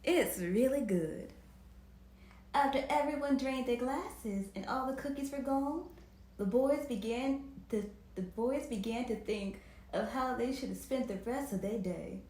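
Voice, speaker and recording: A woman's voice: fairly high pitch, normal speed. A woman hosting a children's storytime, reading aloud expressively and speaking directly to her listeners. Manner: expressive, performative, addresses viewers directly. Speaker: children's storytime host and reader. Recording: roomy, echoing home recording.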